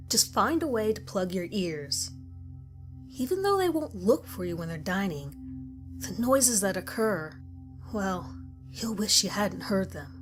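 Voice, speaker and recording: A woman's voice: medium pitch, natural voice. A woman voicing a grim survivor who gives stern, ominous warnings in a scripted horror story narration. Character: grim woman survivor narrating deadly rules of a monster house. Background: music.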